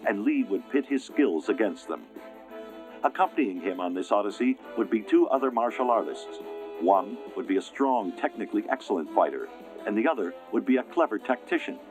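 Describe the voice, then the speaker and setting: A man reading scripted documentary narration in a measured, even way. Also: on the low side, rough recording. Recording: narration over music.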